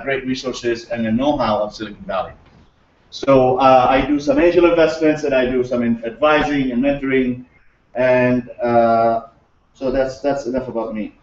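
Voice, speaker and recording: A man's voice: medium pitch, a little hiss or hum. A middle-aged man from Syria giving an enthusiastic conference talk with many fillers and restarts, recorded from a distant camera in a large lecture room. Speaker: guest speaker. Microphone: far camera. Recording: roomy echo, distant, dull lo-fi.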